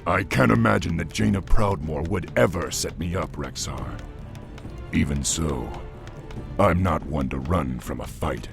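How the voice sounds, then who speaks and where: A man voicing a calm, measured male orc war chief giving orders in a video game cutscene. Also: rumbling low, vocal fry, music in the background, full character voice. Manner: measured, commanding and reassuring.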